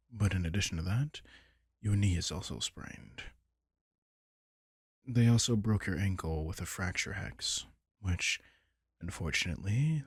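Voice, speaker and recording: A man playing a caring, wry vampire king in an intimate comfort roleplay audio drama, talking casually and softly with dry teasing. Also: low-pitched, gravelly, husky, dry recording, heavily acted.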